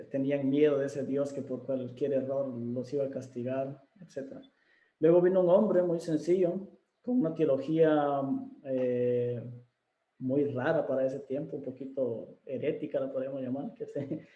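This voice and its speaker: A man's voice: mid-range voice, steady tone, moderate pace, mellow, reverberant, dull audio. A man hosting a video interview, speaking casually with many fillers and restarts. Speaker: interview host.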